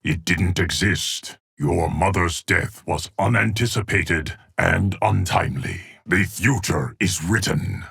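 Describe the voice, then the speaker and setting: Game casting: an ageless, solemn keeper of time, low rumbling gravel, dry close sound, measured formal pronouncements. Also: male voice, fairly bright tone, no echo, full character voice. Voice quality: deep, gravelly, husky. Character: solemn ageless immortal male guardian of time. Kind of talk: video game character voice lines.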